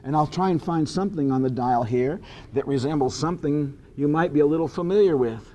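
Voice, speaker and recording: An American man giving an informal history lecture, explaining deliberately like a storyteller. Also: on the high side, warm tone, muffled recording, slight background noise. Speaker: history instructor.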